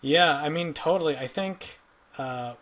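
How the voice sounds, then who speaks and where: A man in his forties from Belgium, a software engineer guest, answering casually and loosely. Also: a little high, a little slow. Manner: relaxed, loose, with fillers and restarts. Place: remote recording.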